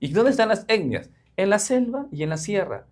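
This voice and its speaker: A man's voice: sits high, big pitch swings, moderate pace. A man from Peru with a Peruvian Spanish accent, a missionary guest in an interview, explaining earnestly with frequent fillers. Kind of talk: interview conversation.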